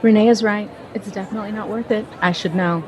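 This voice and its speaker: A woman's voice: medium pitch, fairly even intonation, fast, a little mellow, a faint crowd, a little hiss or hum, close to an everyday voice. A woman acting in an audio drama as a blunt, teasing friend who gives frank advice.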